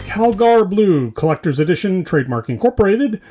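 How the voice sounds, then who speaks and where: Animated man reading comedic hobby news. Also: sits high, moderate pace, music in the background. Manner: tongue-in-cheek, punchy delivery in short phrases. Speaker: host of a tabletop wargaming hobby news podcast. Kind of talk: scripted comedic news roundup with mock commercials.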